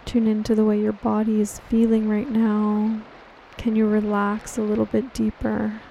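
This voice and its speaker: A woman leads a guided meditation in a calm, gentle, reassuring and unhurried way, as soothing scripted narration. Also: mid-range voice, vocal fry, highs cut off, slight background noise. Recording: steady rain on water behind voice. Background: rain falling on water.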